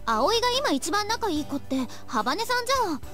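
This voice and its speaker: A woman's voice: very high voice, sing-song, racing, a bit bright, full character voice. A woman voicing a friendly, candid teenage schoolgirl in an anime visual novel. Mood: wondering, slightly jealous. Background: game music.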